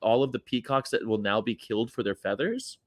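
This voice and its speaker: A man's voice: medium pitch, fairly quick, no echo, studio quality. A man in casual chat as a guest, teasing with absurd jokes.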